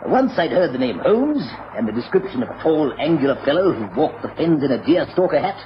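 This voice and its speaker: Hearty retired English policeman, slightly high-voiced. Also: big pitch swings, a little fast. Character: cheerful retired police superintendent turned country publican. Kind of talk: radio drama acting. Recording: muffled, noisy old radio recording.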